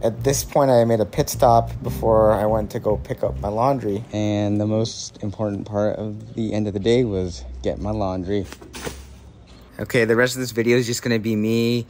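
A man's voice: fairly low pitch, fairly even intonation, normal speed, darkish tone, a little hiss or hum. A young man narrating a casual travel vlog in a laid-back, informal way, recorded outdoors on a handheld phone. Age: young adult. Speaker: travel vlogger. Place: outdoors, on trails and streets. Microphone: handheld phone at arm's length.

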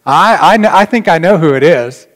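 A middle-aged man, a pastor addressing his congregation informally in a folksy, dry manner with long pauses, in a large church. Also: on the high side, lively intonation. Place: large church sanctuary, indoors. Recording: reverberant church hall.

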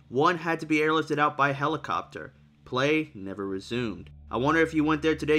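A man hosts a scripted documentary-style video in voice-over. His voice sits a little higher than average and has a clear, bright, forward tone. He reads in an explanatory way, letting his sentences fall away at the end. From Tennessee or southwest Virginia region. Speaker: host and narrator.

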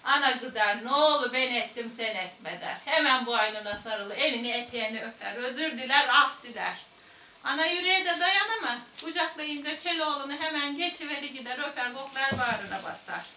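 A woman's voice: high voice, normal speed. Woman storyteller, Central Anatolian accent. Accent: Central Anatolian Turkish. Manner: lively, dramatic, addresses listeners directly. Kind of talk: folk tale storytelling. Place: museum room. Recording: echoey room, distant, dull, faint noise.

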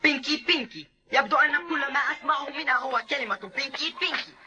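A man's voice: extremely high pitch, fast talker, bright, forceful tone, exaggerated voice. A voice actor playing a sulky young goatherd boy in a dubbed cartoon, grumbling and impatient.

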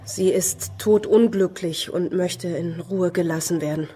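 A woman's voice: fairly low pitch, measured pace, mellow, lightly acted. An actress playing a deluded, imperious woman with an erratic, haughty manner in a crime radio play. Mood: distressed, stubborn. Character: deluded, unstable young woman with split personality, imperious.

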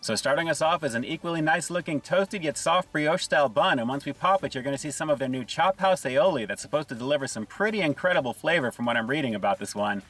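A man in his forties reviewing fast food from inside a parked car, upbeat and enthusiastic, talking to viewers. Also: on the high side, expressive, a bit bright, recorded from a distance, soft background music, poor audio. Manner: upbeat, enthusiastic, often says "guys".

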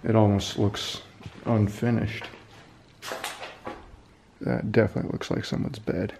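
A man with a low, gravelly voice talks to his camera while poking through abandoned buildings and brush. His commentary is dry and deadpan, full of wry little jokes. The camera audio is noisy, with clear background hiss. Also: unhurried. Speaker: urban explorer vlogger. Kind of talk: casual solo exploring commentary. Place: abandoned buildings and overgrown fields, indoors and outdoors.

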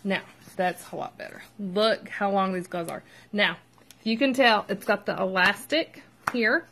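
A woman's voice: mid-range voice, unhurried, pushed and bright. A woman casually reviewing a product at home, chatty and upbeat, rambling with fillers and asides. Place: at home with her child nearby.

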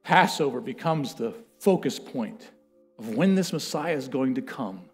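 A man in his thirties preaching from a church stage, telling history with lively, building emphasis. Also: mid-range voice, a little slow, breathy edge, soft background music. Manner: animated storytelling, building to loud chanted quotes. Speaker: pastor. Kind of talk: sermon. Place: church stage with a lectern, large indoor room.

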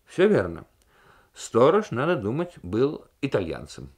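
A man voicing a witty, probing diplomat in a radio novel reading, arguing with deliberate irony. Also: medium pitch, huge pitch swings, a little mellow, exaggerated voice. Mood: dryly ironic. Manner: argumentative, ironic, deliberate. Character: witty, probing male Soviet diplomat in debate.

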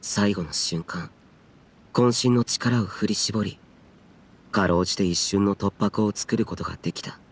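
An actor playing a stern, reserved guardian warrior in a game cutscene, speaking formally in a measured, restrained and archaic way. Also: male voice, fairly low pitch, slightly rough. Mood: grave, reflective. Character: stern, stoic yaksha guardian, reserved and grave. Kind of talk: dramatic game cutscene dialogue.

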